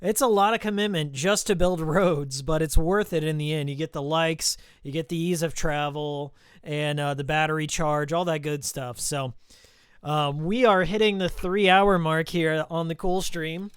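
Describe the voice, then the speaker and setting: Guy streaming a game, chill rambly talk, bit hoarse, kinda high voice, smooth. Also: no room sound, clean studio sound. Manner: relaxed, rambling, reading out viewer names slowly. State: slightly hoarse from a long stream. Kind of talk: casual live-stream chat.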